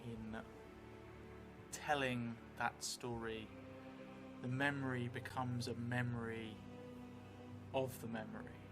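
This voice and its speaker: Man, forties, mid-pitched, slow and halting, thinking aloud as he narrates a tabletop game, music behind him. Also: flat delivery. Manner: musing, halting, drifting into muttering while writing. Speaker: solo role-playing streamer. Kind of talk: thinking aloud while narrating a journaling role-playing game. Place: indoor theatre stream set, seated at a table. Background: background music.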